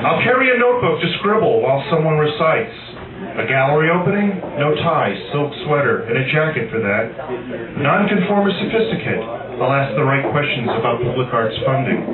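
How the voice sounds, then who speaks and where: A man reading his own poems live at an open mic, with dramatic, emphatic delivery and wry asides to the audience. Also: a little high. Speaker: amateur poet reading own work at a poetry night. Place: busy cafe open-mic room. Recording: tinny phone-like sound, distant, echoey, loud crowd. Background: loud audience chatter.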